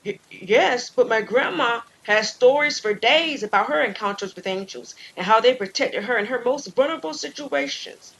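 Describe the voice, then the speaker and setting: Woman playing a higher-voiced anxious young female hiker. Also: expressive, normal speed, few pauses, a bit bright, rough recording. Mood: earnest. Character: Melissa, soft-spoken, faithful, anxious young woman hiker. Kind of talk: audio story character dialogue.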